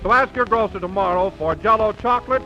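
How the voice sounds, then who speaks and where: Male American announcer, high voice, polished 1930s sales read, muffled vintage radio sound with music. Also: fast talker, pushed and bright.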